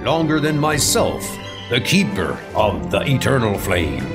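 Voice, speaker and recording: A man's voice: mid-range voice, big pitch swings, a little slow. A man voicing a formal, grandiose Viking guardian of a sacred flame in an audio drama. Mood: solemn. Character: Onadir, solemn ceremonial Viking guardian of ancient flame. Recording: music under the voice.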